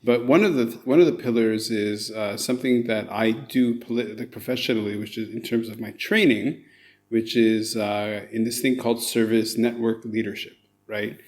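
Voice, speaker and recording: A man's voice: on the low side, fairly even intonation, normal speed. A man who grew up in Canada, hosting a podcast in a chatty, enthusiastic way with many fillers. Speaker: podcast host. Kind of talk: casual interview chat.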